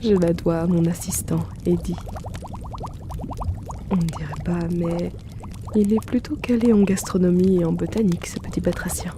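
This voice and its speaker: A woman playing a polite, attentive potion shopkeeper in a gentle fantasy roleplay audio, speaking unhurriedly to her customer. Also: fairly low pitch, fairly even intonation, moderate pace, long pauses, noisy background, close to an everyday voice. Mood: attentive, welcoming. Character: courteous female potion shopkeeper in a fantasy world. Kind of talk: French roleplay audio, gentle scripted in-character address.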